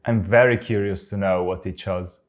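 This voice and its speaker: A man's voice: low-pitched, fairly even intonation, normal speed, slight background noise. A young man with an Italian accent, an Italian speaker and language teacher reacting to a video in casual, amused commentary. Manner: amused, chatty, laughs often.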